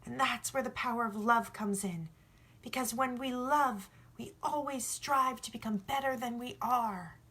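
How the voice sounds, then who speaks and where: A woman's voice: fairly high pitch, unhurried, bright, forceful tone, breathy edge, pitched above the performer's own voice, exaggerated voice. A woman voicing an earnest, bold young boy who philosophizes emphatically in a storybook read. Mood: passionate.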